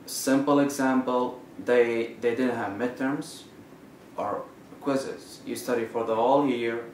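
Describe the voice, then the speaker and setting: A young man from Saudi Arabia, a student, answering interview questions in a halting way with many fillers and restarts. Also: mid-range voice, steady tone, darkish tone. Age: young adult. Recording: roomy, distant mic with faint noise.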